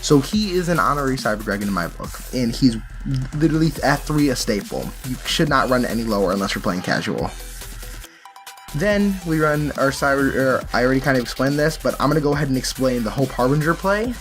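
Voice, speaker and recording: A man's voice: medium pitch, expressive, fairly quick, faint music behind. A man giving a casual, explanatory walkthrough as a card game player presenting a deck.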